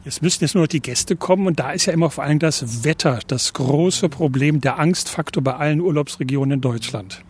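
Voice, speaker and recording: A man's voice: a little high, brisk, hardly any pauses, darkish tone, breathy edge. A man interviewing a guest, asking questions in a teasing, playful way.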